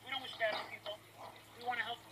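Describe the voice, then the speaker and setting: A woman's voice: a little high, little pitch movement, very fast, over a noisy crowd. A woman protester talking off the cuff out on the street, caught in a distant clip. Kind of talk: spontaneous street talk. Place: outdoors on a street. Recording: very noisy, distant outdoor clip.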